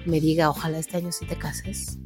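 A Mexican woman co-hosting a podcast, telling casual comic anecdotes in an animated way and acting out bits of dialogue. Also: low voice, fairly quick, music in the background. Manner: animated, acts out imagined dialogue. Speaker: podcast co-host.

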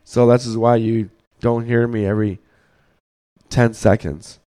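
A hoarse man, getting over the flu, hosts a podcast and delivers a blunt, scolding sports rant, often repeating words as he thinks. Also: mid-range voice, flat delivery, measured pace, mellow. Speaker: podcast host.

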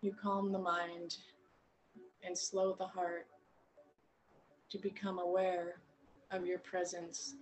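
A woman leads a guided meditation at a very slow pace. Her voice sits a little low, and she speaks gently in short phrases with long pauses. Steady ambient noise runs behind her. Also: low-quality recording.

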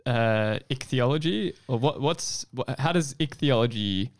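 A man co-hosting a podcast, asking curious questions in a halting way. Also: mid-range voice, lively intonation. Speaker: podcast co-host. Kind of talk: casual interview questions.

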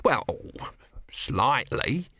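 A man's voice: a little high, big pitch swings, unhurried, pushed and bright, dry recording, clean studio sound, voice pushed up, full character voice. A man voicing a bossy, cynical small creature who is sardonic, evasive and pragmatic in an audiobook story.

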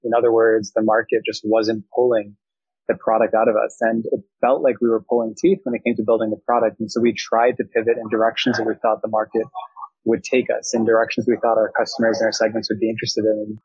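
Husky low-voiced man, muffled. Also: completely flat delivery, fast, darkish tone, no room sound. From Thailand. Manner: fluent, reflective, business jargon. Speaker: startup founder, guest. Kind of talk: interview answers.

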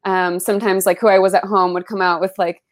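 A young woman raised in the Bay Area, with Spanish as her first language, chatting as a guest in a relaxed interview. She rambles warmly through memories, peppering her speech with "like" and "um". Her pitch sits in a middling range. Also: fast talker. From San Francisco Bay Area, US. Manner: chatty, full of "like" and "um", animated when recalling. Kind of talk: casual conversational interview.

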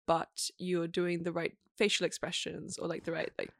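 Low, gravelly-voiced young woman guest. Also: completely flat delivery, normal speed, fairly bright tone, no room sound, clean studio sound. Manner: reflective, thoughtful, frequent "like" fillers. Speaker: interview guest. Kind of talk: casual conversation.

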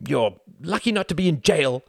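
A man's voice: lowish voice, very animated, a little fast, no echo, studio quality, pitched above the performer's own voice. A man voicing a bitter, irritable upper-class grown son in a storybook narration, sneering, sarcastic and melodramatic. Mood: angry.

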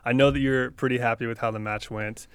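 A man's voice: medium pitch, steady tone, quick pace, a bit bright, smooth. A man around thirty co-hosting a podcast in casual, conversational chat. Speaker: podcast co-host, medical student.

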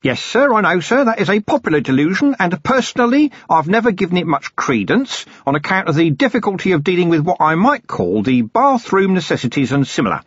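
A man's voice: sits high, big pitch swings, fairly quick, few pauses, slightly rough, no room sound, voice pushed up, full character voice. A man voicing a cheerful, talkative old male hermit who rambles eagerly and deferentially. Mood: eager chatter. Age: older. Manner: eager, rambling, deferential, chuckling at his own jokes. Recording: dull, dry, lo-fi recording.

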